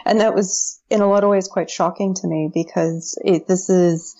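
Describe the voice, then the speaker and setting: A woman's voice: on the low side, fairly even intonation, normal speed, dark tone, muffled recording. A woman in her thirties from the United States, a guest on a podcast interview, chatty and often laughing.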